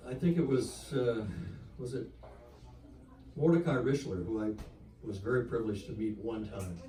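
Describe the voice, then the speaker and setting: A man, an author at a live literary event, speaking with measured, emphatic phrasing. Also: fairly low pitch, mellow. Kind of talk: literary reading, then frank talk. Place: whisky bar literary event. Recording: echoey room, distant from mic.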